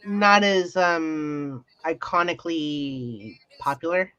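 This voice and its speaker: A woman's voice: deep voice, takes it slowly, fairly bright tone, clear, resonant tone. A woman chatting casually as co-host of a talk stream, with a giggly manner. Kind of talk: casual chat. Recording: muffled lo-fi stream with music underneath. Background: music.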